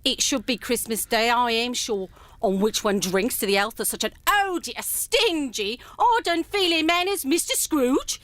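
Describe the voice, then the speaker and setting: Woman playing an emphatic wife, fairly high. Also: expressive, unhurried, a bit bright, no echo. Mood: indignant, grudging. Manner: emphatic, scolding. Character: indignant, sharp-tongued, loving Victorian working-class wife. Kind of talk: radio drama acting.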